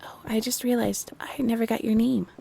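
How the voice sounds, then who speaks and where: A woman playing a polite, shy owl shopkeeper in a romantic roleplay audio drama, speaking gently and hesitantly. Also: a little high, steady tone, fairly quick, gravelly, slight background noise. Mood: friendly, polite.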